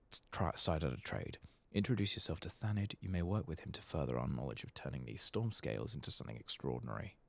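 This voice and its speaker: A man softly voicing a businesslike master armorer who gives instructions in a fantasy game. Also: very deep voice, creaky, no echo, full character voice. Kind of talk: soft-spoken reading of game quest dialogue.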